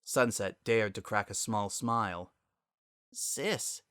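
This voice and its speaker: A man's voice: lowish voice, normal speed, fairly bright tone, no echo, clean studio sound. A man reading a story aloud as narrator in a dramatic style.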